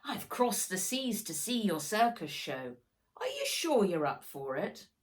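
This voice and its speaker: A woman voicing a kindly old seafaring grandfather in a children's storybook, hearty and gently teasing. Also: mid-range voice, wildly swooping pitch, a little slow. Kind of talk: children's storybook read-aloud.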